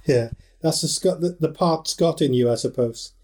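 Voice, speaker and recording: Male interviewer from Wales, mellow tone, a bit high, hesitant and chatty. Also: moderate pace. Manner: hesitant, friendly, stacks fillers. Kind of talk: casual interview chat.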